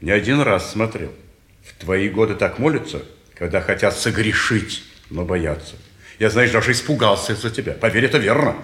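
An actor playing a calm, insinuating man of the world in a Russian stage drama, speaking in a measured way. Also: fairly low pitch. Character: calm, insistent, worldly man pursuing a former lover. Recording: echoey 1950s theatre recording.

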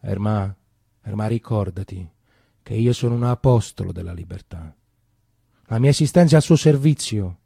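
A man's voice: lowish voice, moderate pace, gravelly, dark tone, husky, no echo, studio quality. A man playing a proud antifascist teacher, declaiming solemnly and with measure in a stage monologue. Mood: solemn, resolute. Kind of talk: stage monologue character acting.

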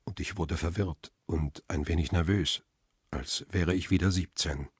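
An actor playing a wry, stubborn photographer who narrates and speaks in a crime radio drama, with a dry, confrontational manner and a husky, rough voice. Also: male voice, low-pitched, a little slow, no echo, exaggerated voice. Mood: guarded.

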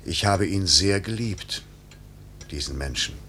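Stern flight boss, really deep but forceful voice, grave and clipped, old 50s radio play, slight hiss. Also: male voice, a little slow. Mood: grave, firm. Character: stern, restrained male flight chief, duty-bound, inwardly moved. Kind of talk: 1950s German radio drama acting. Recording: hissy 1950s radio recording, faint hum.

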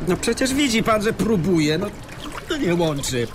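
A man's voice: sits high, big pitch swings, moderate pace, noisy background. A man playing a sardonic, self-assured plane-crash survivor muttering colloquially while adrift at sea in a radio play. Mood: exasperated. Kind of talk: Polish radio play dialogue. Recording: loud splashing water sound effects behind. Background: sea water sounds.

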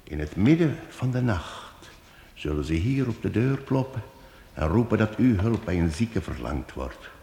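Man doing a shrewd minstrel, radio play, very low voice, measured, roomy reverb. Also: sing-song, mellow, slight background noise. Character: calm, shrewd male wandering minstrel. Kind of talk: radio drama acting.

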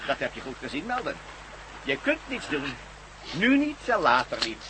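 A man's voice: fairly high pitch, huge pitch swings, normal speed, sharp tone, a little hiss or hum, full character voice, rough recording. An actor playing a commanding villain captain in a radio drama, mocking and sardonic, gloating over his plans to rule the world. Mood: mocking politeness. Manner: mocking, sardonic, barks orders.

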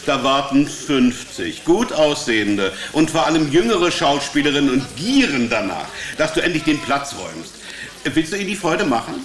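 High-pitched, bossy male film director. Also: very animated, moderate pace, fairly bright tone. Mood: sarcastic, impatient. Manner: barking orders, impatient, sarcastic. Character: tyrannical, hot-tempered male film director. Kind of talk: radio drama acting. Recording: echoey set, off-mic, crowd behind.

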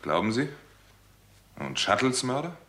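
An actor playing a sober, self-possessed doctor with a terse delivery in a 1960s German radio crime drama. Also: male voice, medium pitch, very animated, unhurried, fairly bright tone. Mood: questioning. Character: sober, self-possessed male doctor caught in murder case.

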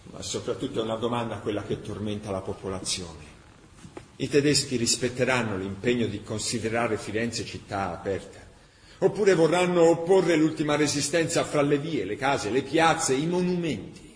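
A man giving an impassioned, deliberate dramatic narration of a historical chronicle. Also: mid-range voice, expressive. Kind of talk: dramatic scripted documentary narration for radio theatre. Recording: echoey room, faint background noise.

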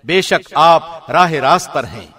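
A man reading a scripture translation from a script in a formal, measured announcer's delivery. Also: a little high, lively intonation, sharp tone, dry recording. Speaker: translation reader, radio host.

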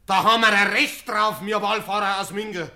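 A man's voice: high voice, fairly even intonation, brisk, sharp tone, heavily acted. A man playing a brash, demanding pilgrim leader with a Bavarian accent in a radio play. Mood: indignant. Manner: blustering, insistent. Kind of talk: historical radio play acting.

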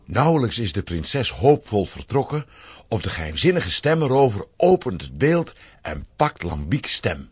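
A man narrates a children's story with dramatic emphasis. His voice is lowish and heavily husky, and it moves through lively swings of intonation. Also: normal speed, no room sound.

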